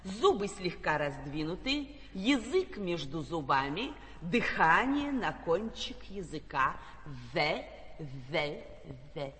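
A man's voice: sits high, sing-song, slow, bright, forceful tone, full character voice. An actor playing a pedantic female manager who lectures on pronunciation with over-precise diction. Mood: lecturing. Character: pedantic female manager, language-institute graduate. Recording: stage recording in a reverberant hall.

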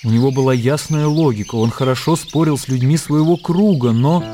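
A man's voice: mid-range voice, brisk, mellow, a little hiss or hum. A man reading scripted literary narration for a radio play. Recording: faint birdsong and outdoor ambience.

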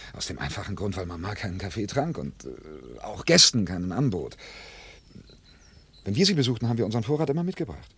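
An anxious widower under suspicion in a radio crime play. His voice is lowish and very breathy, with agitated swings of pitch. He stammers and halts as he defends himself. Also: sing-song, fairly bright tone, no echo, full character voice. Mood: anxious, troubled. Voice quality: husky, breathy. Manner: halting, stammering, emotionally agitated. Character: distraught accused widower, anxious and protesting innocence. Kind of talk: German radio crime drama acting.

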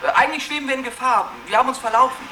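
A man's voice: very high-pitched, racing, bright, forceful tone. An actor in a radio drama playing a desperate male drifter, theatrical, sarcastic and erratic. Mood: nervous, pleading. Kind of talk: German radio drama acting. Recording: distant, faint background noise.

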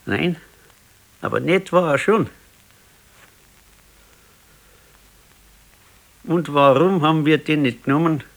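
A man's voice: fairly high pitch, normal speed, vocal fry, a bit bright. An actor playing a sickly, wistful grandfather in his sixties in a radio play, musing in short colloquial phrases with a Bavarian accent. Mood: wistful, eager. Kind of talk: naturalistic radio play dialogue.

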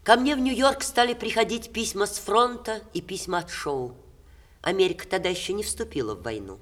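An actress playing a proud, emotional ageing stage star in 1960s Russian radio theatre style, with expressive, measured diction. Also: female voice, medium pitch, close to an everyday voice. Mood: lively narrating.

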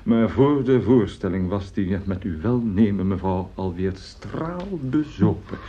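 A man's voice: on the low side, animated, measured pace, dark tone. An actor in a radio play as a boastful, jovial, germ-shy opera singer who chats effusively and repeats his words. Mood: animated storytelling. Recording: dull, lo-fi old radio recording.

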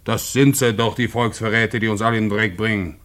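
Low-voiced, self-righteous middle-aged man, played by an actor. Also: fairly even intonation, fast talker, fairly bright tone, close to an everyday voice. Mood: righteous, suspicious. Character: suspicious, dogmatic party-loyal pub landlord. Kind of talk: German radio drama acting.

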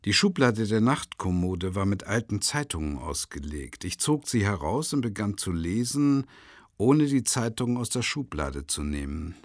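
Weary traveling lecturer, dryly recorded. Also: male voice, lowish voice, sing-song, normal speed, dry recording, clean studio sound. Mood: wry narration. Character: weary, polite male traveling lecturer narrating his own mishaps. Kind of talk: radio drama narration and dialogue.

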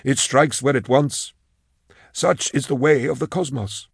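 A man's voice: mid-range voice, vocal fry, husky, no echo, clean studio sound, voice pushed up. A man playing a solemn, deferential undertaker. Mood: grave. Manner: deferential, measured, mournful formality. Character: slender, solemn, formal male undertaker.

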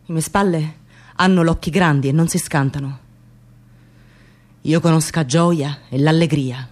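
A woman's voice: sits low, measured pace, darkish tone. An actress playing a lone, anguished working woman with a Sicilian accent, in an incantatory poetic radio monologue. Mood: brooding, yearning. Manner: incantatory, repeating short phrases.

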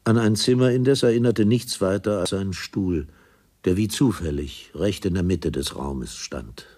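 An actor serving as the grave narrator of a radio play, reading literary prose in a measured manner. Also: male voice, low-pitched, dark tone. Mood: grave, descriptive.

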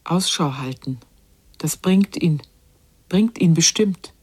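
An actress playing a quiet narrator in a literary radio drama, measured and lyrical in her delivery. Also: on the low side, husky. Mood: intent.

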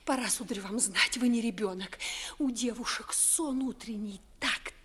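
An actress as a high-voiced, pert young maidservant. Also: female voice, moderate pace, fairly bright tone, close to an everyday voice. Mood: flustered, pert. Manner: theatrical, exclamatory delivery with asides. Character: pert, quick-witted young maidservant in a noble house. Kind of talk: 1950s Russian stage-style radio comedy in verse.

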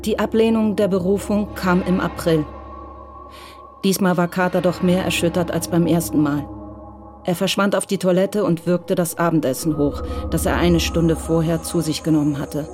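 A narrator reading a radio crime drama in a measured, even way. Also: mid-range voice. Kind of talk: scripted narration. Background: music.

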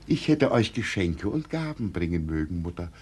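A man's voice: deep voice, very animated, normal speed, a little mellow, husky, heavily acted. An actor in a 1950 radio play, playing a deluded middle-aged village man who grandly believes himself emperor, dreamy and solemn. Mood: dreamy, enraptured.

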